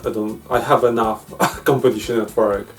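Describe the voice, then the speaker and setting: A man's voice: lowish voice, steady tone, normal speed. A man from Ukraine, chatting casually in an interview in an easygoing way. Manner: easygoing, with frequent "uh" and non-native grammar. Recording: distant mic with faint music.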